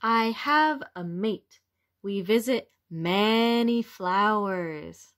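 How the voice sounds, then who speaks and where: A woman voicing a cheerful young caterpillar that becomes a butterfly in a picture book, speaking expressively for young children. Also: mid-range voice. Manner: slow, expressive, simple exclamations for young children.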